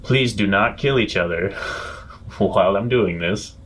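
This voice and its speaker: A man playing a tabletop role-playing game, talking in character in a measured, dry, reasoning way. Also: fairly low pitch, a bit bright, a little hiss or hum, low-quality recording. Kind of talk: in-character improvised role-play.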